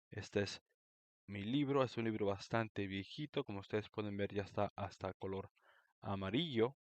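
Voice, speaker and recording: A man's voice: lowish voice, dry recording. A Mexican-American man from Florida talking casually and unhurriedly, with many small fillers, about a history book. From United States (Florida), Mexican roots.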